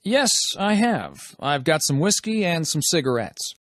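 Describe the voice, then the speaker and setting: Guy doing a polite airport character, lively voice, dry studio sound, scripted lesson. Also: fairly high pitch, a little slow, no room sound. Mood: hesitant. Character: polite clerk or passenger at an airport.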